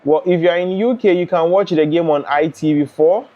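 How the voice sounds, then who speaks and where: A young man from Ghana hosting a live football watch-along, chatting and reading out viewer comments in a relaxed way. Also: fairly high pitch, moderate pace, lo-fi sound. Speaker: live stream host.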